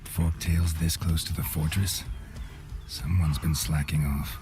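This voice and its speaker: An actor playing a world-weary monster hunter in a video game, with terse, deadpan, dry delivery. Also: male voice, a bass voice, a little slow, over music. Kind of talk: video game cutscene acting.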